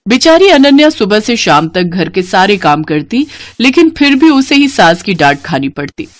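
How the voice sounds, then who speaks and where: A woman narrating a scripted moral bedtime story in an expressive storytelling manner. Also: medium pitch, moderate pace.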